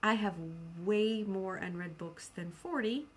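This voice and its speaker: A woman's voice: medium pitch, measured pace, breathy edge. Chatty, self-deprecating woman vlogger. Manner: animated self-quoting, repeats phrases for emphasis. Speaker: book vlogger. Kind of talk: casual, rambling video chat about personal plans.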